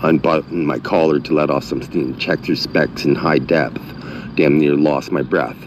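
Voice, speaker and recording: Man, middle-aged, very deep voice, rambling, half-chanted reading, fillers, noisy phone audio. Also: fairly even intonation. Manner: halting, many fillers and restarts. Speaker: channel owner. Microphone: phone mic.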